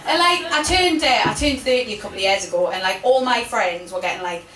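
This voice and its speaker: A woman's voice: fairly high pitch, expressive, normal speed, recorded from a distance, crowd noise. A woman in her thirties with a Geordie accent, doing cheeky, self-mocking stand-up comedy and chatting with the audience at a live venue. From Newcastle, England. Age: 30s. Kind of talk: stand-up comedy with crowd work. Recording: echoey room, from afar, noisy.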